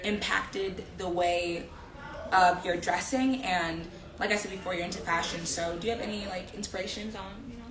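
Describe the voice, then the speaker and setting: A woman's voice: medium pitch, normal speed, a crowd in the background. A young woman hosting a casual interview, affirming her guest and speaking with emphasis. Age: young adult. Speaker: live series host, interviewer. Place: indoor room, live social media stream. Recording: echoey room with people murmuring behind.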